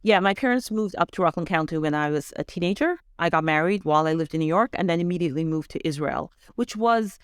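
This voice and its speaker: A woman's voice: fairly low pitch, animated, fast, hardly any pauses, clear, resonant tone, no room sound, clean studio sound. A middle-aged woman from Canada with a North American accent, giving a conversational personal account as an interview guest. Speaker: interview guest, education advocate.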